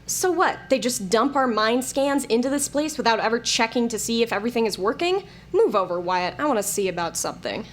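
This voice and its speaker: A woman acts a bossy, sardonic older female programmer in an audio drama. The voice is fairly high, blunt and impatient, with faint noise and typing behind it. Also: animated, a little fast, few pauses, lightly acted. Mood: impatient, irritated. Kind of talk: scripted audio drama acting.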